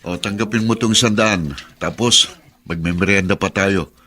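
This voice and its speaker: A man's voice: on the low side, moderate pace, bright, forceful tone. An actor playing a kindly, generous middle-aged man in a radio drama, coaxing in an avuncular way. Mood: friendly insistence. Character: kindly, generous older cousin of the family, insistent. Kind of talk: naturalistic radio drama acting.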